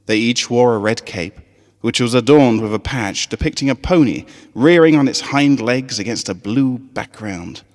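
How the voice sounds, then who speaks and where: Male story narrator, expressive mid-pitched delivery with animated swings, heavy hall reverb. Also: normal speed. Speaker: fan fiction reader.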